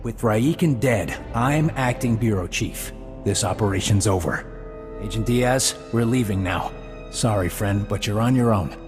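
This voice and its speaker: Actor as a bitter, low-voiced officer. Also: male voice, a little slow, music in the background, lightly acted. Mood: cold, curt. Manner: curt, accusing, cold. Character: bitter, stern male intelligence officer taking command. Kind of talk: video game cutscene acting.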